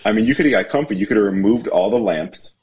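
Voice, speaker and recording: A man's voice: fairly low pitch, lively intonation, fast talker, darkish tone, slight background noise. A man hosting a casual podcast with a head cold, teasing his guest and swearing freely. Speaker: podcast host.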